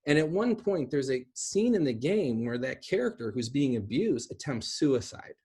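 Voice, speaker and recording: Man, American accent, husky voice, middle-aged, casual unscripted talk, animated, rambling asides. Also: mid-range voice, normal speed. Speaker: video host discussing video game films. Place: small room at home, indoors.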